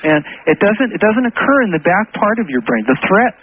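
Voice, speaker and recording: A man phoning in as a radio guest, earnestly explaining in a halting way, repeating words for emphasis. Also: high voice, sing-song, slightly rough. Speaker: phone-in guest. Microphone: telephone. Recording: thin phone line on radio broadcast.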